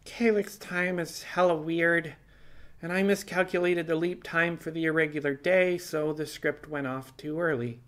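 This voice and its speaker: A man plays a young woman hacker on the run in an audiobook, pitched well up high. The figure is defiant and earnest, explaining in an urgent flow with wry little asides. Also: fairly even intonation, moderate pace, fairly bright tone, close to an everyday voice. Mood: rueful, agitated. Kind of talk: audiobook character dialogue.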